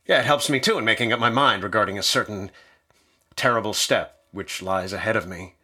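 Sharp, husky-voiced young student traveler. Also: male voice, fairly low pitch, a little fast, heavily acted. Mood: haunted, confessional. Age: young adult. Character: curious, bookish young male student traveler, uneasy narrator. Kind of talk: radio drama dialogue.

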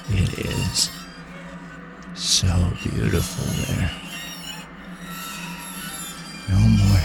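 A very deep, heavily creaking undead man, rotted and overgrown with vines. He beckons with eerie, entranced seduction, halting and repeating himself. It is horror audio drama, with music beneath. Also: heavily acted. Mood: blissful, entranced. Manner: halting, repetitive, entranced luring.

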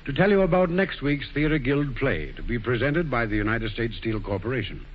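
A man's voice: medium pitch, big pitch swings, moderate pace. A man giving formal, scripted announcements as a 1940s radio announcer. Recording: muffled, faintly noisy 1940s radio broadcast.

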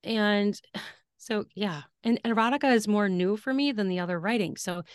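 A woman's voice: mid-range voice, smooth, dry recording, studio quality. Laughing, chatty woman narrator. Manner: laughs often, halting restarts. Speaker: guest, audiobook narrator and writer. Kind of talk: casual interview conversation.

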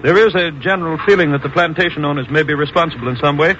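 Heard through a noisy, muffled old radio recording, a man plays a deferential army captain. He speaks quickly and formally in a lightish male voice, always courteous and earnest. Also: on the high side, a bit bright, natural voice. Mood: earnest, explaining. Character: deferential Haitian army captain, polite official escort. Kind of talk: 1950s radio drama acting.

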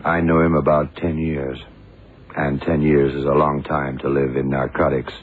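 Very deep-voiced, curt blind detective. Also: male voice, little pitch movement, moderate pace, slightly rough. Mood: matter-of-fact. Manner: curt, hard-boiled. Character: blind male detective, brusque, stoic, unsentimental. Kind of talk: 1960s-70s radio drama acting. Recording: tinny, hissy old radio broadcast.

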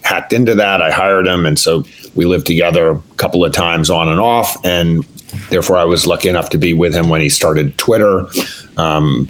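A middle-aged man from the East Coast, a CEO telling his career story in a measured way in a podcast interview. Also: low voice. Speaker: guest, company CEO.

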